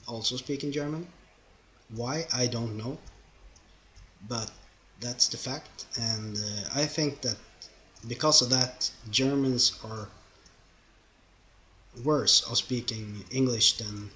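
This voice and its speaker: A man's voice: medium pitch, pushed and bright, rough recording. A young man from Sweden with a Swedish accent, rambling casually through viewer questions in his second language. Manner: chatty and rambling, loose grammar, frequent fillers. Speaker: YouTuber answering viewer questions. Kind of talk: casual Q&A vlog. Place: home, lives in Norway.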